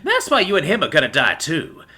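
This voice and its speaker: A man's voice: fairly high pitch, huge pitch swings, a little fast, bright, forceful tone, voice pushed up, full character voice. A man voicing a crazed, vengeful father mouse in a story, ranting in a righteous, theatrical way. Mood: bitter. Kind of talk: dramatic storybook character voice.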